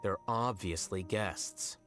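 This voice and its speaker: A man voicing an aloof, coolly logical young scholar who speaks calmly and curtly in a video game. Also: low voice, takes it slowly, faint music behind. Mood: dry. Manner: dry, calm, curt.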